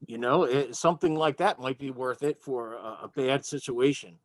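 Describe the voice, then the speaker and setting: A man's voice: mid-range voice, normal speed, no echo. A man playing a tabletop role-playing game, talking casually as he works out his moves.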